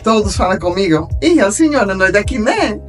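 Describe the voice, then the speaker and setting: An older woman from Belgium speaking with a foreign accent as an interview guest, telling her story haltingly in a learned language. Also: medium pitch, lively intonation, faint music behind. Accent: foreign accent in Portuguese, from Belgium. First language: a language of Belgium, not Portuguese. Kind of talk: personal storytelling in a podcast interview.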